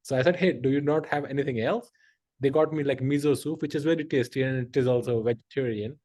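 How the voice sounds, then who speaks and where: A man's voice: mid-range voice, fast talker, darkish tone. A middle-aged man, hosting a podcast and telling stories in a rambling, filler-heavy way with lots of laughter. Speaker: podcast host. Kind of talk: casual conversational storytelling.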